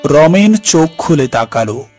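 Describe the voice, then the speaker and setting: A man's voice: on the high side, very animated, a little slow, a little mellow. A man narrating a scripted dramatic story in the first person. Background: background music.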